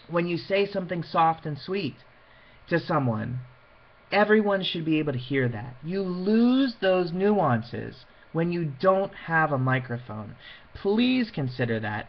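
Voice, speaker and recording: Slightly high-voiced man giving an informal lecture. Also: muffled recording. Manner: conversational, emphatic, illustrates points with quoted asides. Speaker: lecturer on PA systems for wedding officiants. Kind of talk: informal spoken lecture to an audience.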